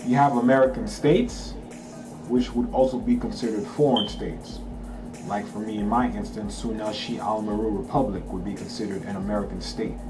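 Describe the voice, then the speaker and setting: A man's voice: on the low side, fairly even intonation, normal speed, music in the background, rough recording. A man from Haiti, a Creole speaker, reading a document aloud and breaking off to explain it in casual commentary, checking in with listeners. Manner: emphatic, checks in with listeners, slangy asides. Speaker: channel host.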